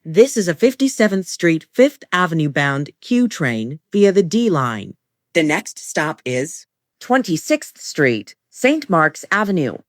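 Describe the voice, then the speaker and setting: Low-pitched woman making train announcements. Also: sing-song, no room sound, studio quality. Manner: formulaic, measured delivery of short set phrases. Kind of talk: scripted automated transit announcements.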